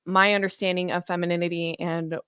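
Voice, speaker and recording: A woman's voice: on the low side, level tone, brisk, bright, forceful tone, clean tone, no room sound. Woman podcast host, close dry sound. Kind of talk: conversational interview.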